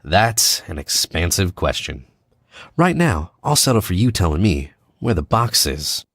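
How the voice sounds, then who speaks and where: A man playing a cocky, needling American spy in an audio drama, casual and clipped, with quiet menace. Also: sits low, very animated, measured pace, dry recording, full character voice. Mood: pressing, cold.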